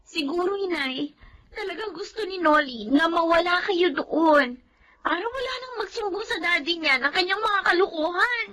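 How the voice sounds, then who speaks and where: An actress playing an earnest, supportive young daughter in a Filipino radio drama. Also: female voice, very high-pitched, animated, moderate pace, pushed and bright, heavily acted, low-quality recording. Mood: sympathetic. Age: young adult.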